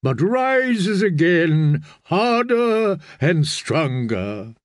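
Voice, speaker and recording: A man's voice: high voice, very animated, very slow, dry recording, clean studio sound, voice pushed up, exaggerated voice. A man playing a fervent, commanding prophet priest of a sea god in a fantasy audiobook, preaching in solemn, incantatory oratory. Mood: solemn, ritual. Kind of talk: dramatised fantasy audiobook reading.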